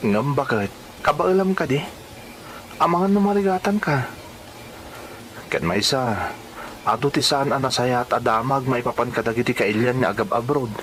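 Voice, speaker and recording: A poor Ilocano husband played by a man in a radio drama, concerned and reluctant yet supportive. His voice sits at a middling pitch. There is clear noise and ambience in the background. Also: normal speed, long pauses, a bit bright, natural voice. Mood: worried, doubtful.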